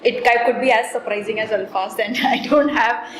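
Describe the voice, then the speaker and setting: A woman, thinking aloud in a relaxed interview about her writing and filling her talk with "you know". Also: fairly high pitch, brisk, reverberant, slight background noise, poor audio. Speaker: author being interviewed. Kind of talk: casual reflective interview talk. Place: cafe.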